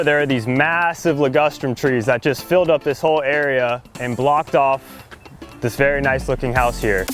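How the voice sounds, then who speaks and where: Man, mid-pitched, easygoing walkthrough presenter over a music bed. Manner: easygoing, plain-spoken, explaining as he walks. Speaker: landscaping company presenter. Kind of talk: casual walkthrough of a finished project.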